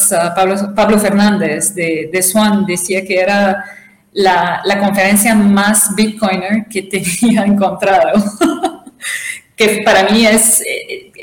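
A woman guest answers interview questions haltingly, filling her pauses with "ah" and starting her phrases over. Spanish is not her first language, and her voice rings in an echoey room. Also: medium pitch. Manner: halting, many fillers and restarts. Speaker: interview guest, conference organizer.

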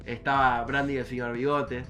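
A man's voice: medium pitch, little pitch movement, brisk, bright, forceful tone, soft background music. A young man with a Rioplatense Argentine accent chatting casually as a podcast co-host, giving short offhand replies. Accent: Rioplatense Argentine Spanish. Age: young adult. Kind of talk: casual chat.

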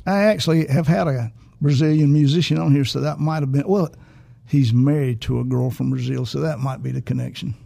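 A man's voice: mid-range voice, fairly even intonation, fairly quick, creaky, hardly any pauses, warm tone. A man hosting a casual interview, easygoing and rambling. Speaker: podcast host.

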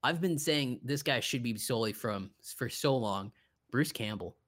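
A man's voice: mid-range voice, expressive, unhurried, a bit bright. A man co-hosting a podcast, chatting casually and enthusiastically about films, with some loose swearing. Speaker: podcast co-host. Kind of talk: casual film chat.

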